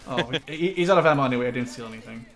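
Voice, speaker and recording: A man's voice: mid-range voice, animated, very fast. A man chatting casually and swearing as he plays a video game with teammates. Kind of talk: casual gaming chat with teammates. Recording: noisy game audio behind voice. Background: video game battle sounds.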